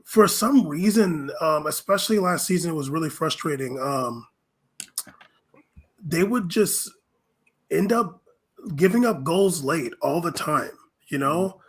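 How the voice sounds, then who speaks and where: A man co-hosting a casual soccer podcast, speaking in a halting, loosely phrased way peppered with "like". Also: a little high, animated. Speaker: podcast co-host and soccer pundit. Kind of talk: casual sports discussion.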